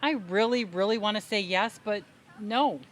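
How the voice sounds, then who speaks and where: An actress playing a patient, puzzled older sister in a comedic audio play, questioning with emphatic disbelief. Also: female voice, mid-range voice, normal speed, lightly acted. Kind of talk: comedic audio theater dialogue.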